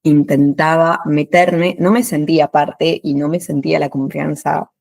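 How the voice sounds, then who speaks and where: A woman in her thirties from France, a French teacher who speaks Spanish with an Argentine accent in a casual chat, lively and laughing often. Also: low-pitched, creaky, no room sound, clean studio sound. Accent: Argentine Spanish with a French background. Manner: lively, laughs often, quick bursts of speech.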